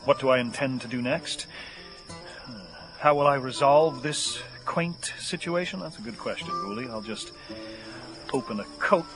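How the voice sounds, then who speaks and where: A man's voice: mid-range voice, measured pace, pauses often, a bit bright, soft background music, poor audio. An actor playing a deranged fugitive gunman in an audio horror drama, menacing yet mock-polite and florid in his speech. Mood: rambling, sardonic.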